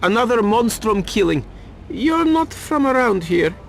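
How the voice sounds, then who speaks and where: High-voiced, gravelly, shifty informant. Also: male voice, sing-song, unhurried, a little hiss or hum, exaggerated voice. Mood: brusque, guarded. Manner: cagey, evasive, self-important. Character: shifty, cagey, money-minded male reporter informant. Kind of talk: video game cutscene acting.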